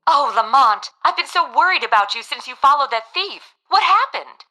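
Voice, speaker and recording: An actress playing an anxious, devoted companion of a crime-fighter, earnest and theatrical, in old-time radio drama style. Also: female voice, sits high, expressive, moderate pace, bright, forceful tone, rough recording. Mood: concerned.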